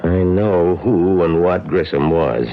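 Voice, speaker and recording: An actor playing a cornered, stammering impostor in a vintage radio drama. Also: male voice, low voice, slow, noisy background. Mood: wary. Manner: hesitant, stammering. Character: cornered male impostor hiding behind a dead man's identity. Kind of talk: 1970s radio drama acting. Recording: muffled old radio broadcast.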